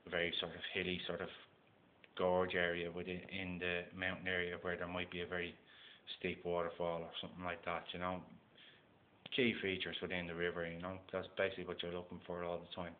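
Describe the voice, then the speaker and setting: A man with a Dublin Irish accent, talking casually to camera in a halting way, with lots of fillers and restarts. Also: deep voice, completely flat delivery, slight background noise. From Dublin, Ireland. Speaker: gold prospecting vlogger. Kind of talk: casual instructional talk to camera. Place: indoors at a table. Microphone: phone camera. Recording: tinny lo-fi phone recording.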